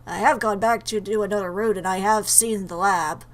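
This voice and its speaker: A woman's voice: medium pitch, fairly even intonation, moderate pace. A woman giving casual, chatty game commentary, playfully talking back to the game. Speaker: let's player. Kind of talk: casual game commentary mixed with reading dialogue aloud.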